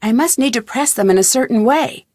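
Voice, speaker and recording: A woman's voice: on the high side, expressive, fairly quick, a little mellow, dry recording. A woman voicing a curious, determined young woman detective in a game, thinking aloud as she searches for clues. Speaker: game heroine. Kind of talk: game voice lines.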